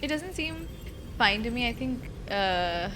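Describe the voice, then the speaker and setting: A woman's voice: fairly high pitch, expressive, a little slow. A woman streamer giving informal live reactions to a game, musing aloud as she watches. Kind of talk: casual live reaction commentary. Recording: faint game music behind.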